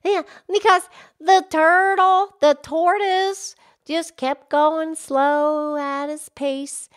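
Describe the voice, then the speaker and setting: A woman voicing an excitable, childlike pig puppet with an eager, chatty, exclamatory manner in a children's puppet show. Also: extremely high pitch, drawn-out words, full character voice. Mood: cheerful, eager. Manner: eager, exclamatory, repeats phrases.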